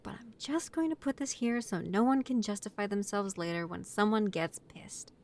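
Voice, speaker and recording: A woman playing a young female vampire housemate in a roleplay audio, setting out rules in a halting, matter-of-fact way. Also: mid-range voice, lively intonation, a little mellow, close to an everyday voice. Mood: matter-of-fact, polite. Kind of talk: roleplay audio acting, addressing the listener.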